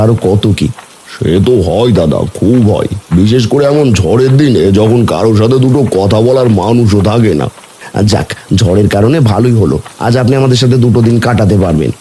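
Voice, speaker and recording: A kindly, humble villager from an animated story , acted by a man. The voice sits low and is soft-edged and dark, with some noise in the background. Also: close to an everyday voice. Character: kindly humble villagers: poor boatman and lonely widower. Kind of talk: animated story character dialogue.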